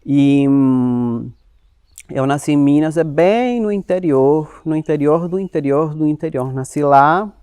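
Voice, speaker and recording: A young man from Uruguay, whose first language is Spanish, telling his life story as an interview guest, speaking Portuguese with a Uruguayan Spanish accent in an animated, expressive way. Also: on the high side, unhurried, clear, resonant tone, dry recording. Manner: animated, expressive, quotes himself in lively voices.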